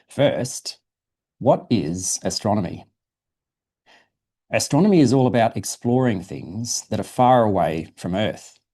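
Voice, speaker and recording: A man's voice: lowish voice, big pitch swings, a little slow, dark tone, breathy edge. A man presenting for a museum, reading a children's science book aloud in a simple, explanatory storytelling way. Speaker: guest presenter from a dinosaur museum.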